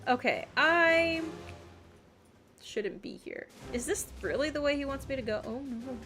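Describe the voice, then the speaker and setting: A woman's voice: high voice, lively intonation, unhurried, fairly bright tone, soft background music. A woman livestreamer giving casual gameplay commentary, muttering questions and reactions to herself as she plays. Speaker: video game streamer.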